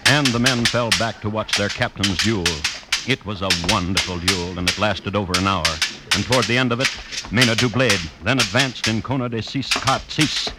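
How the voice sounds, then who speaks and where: An actor playing a dry, deadpan crime chronicler narrating a 1950s radio show with measured storytelling. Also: male voice, lowish voice, lightly acted. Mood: droll, deadpan. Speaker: host and narrator. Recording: hissy old radio broadcast.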